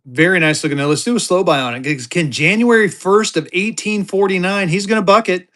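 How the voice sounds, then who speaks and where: High-voiced man hyping auction bids. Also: brisk, bright, forceful tone. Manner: hypes bids in bursts, talks about himself in third person. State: tired. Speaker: live auction host selling banknotes. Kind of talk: live-stream auction patter.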